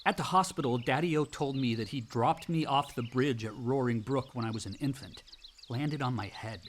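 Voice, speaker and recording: A man's voice: medium pitch, moderate pace, a little hiss or hum, natural voice. An actor playing a brooding, angry grown older son in a naturalistic radio drama, blunt and informal in his talk. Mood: wry, reflective.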